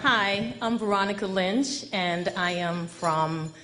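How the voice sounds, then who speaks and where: A woman's voice: medium pitch, takes it slowly, fairly bright tone. A woman from Antigua giving a brief self-introduction into a stage microphone. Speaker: author introducing herself.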